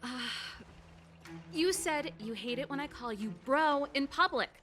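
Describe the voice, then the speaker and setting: An actress playing a confident, playful older sister and inventor in a video game, brisk, teasing and expressive. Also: female voice, sits high. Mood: flustered. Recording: music behind the voice.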